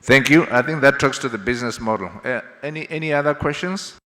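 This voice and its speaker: A male panel moderator with a bright, projecting voice at medium pitch. He is polite and halting as he passes questions along. Long conference-hall reverb surrounds him. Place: large conference hall, through PA.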